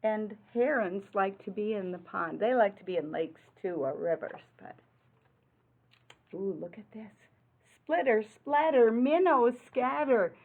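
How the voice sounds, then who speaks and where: A woman's voice: medium pitch, animated. Female read-aloud teacher for little kids, simple questioning delivery, emphatic on rhymes, thin phone-quality audio. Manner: simple child-directed talk with frequent questions; deliberate, emphatic delivery of rhyming words. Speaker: teacher reading aloud to young children. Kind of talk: picture-book read-aloud with explanations and questions. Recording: muffled, phone-like narrow-band sound.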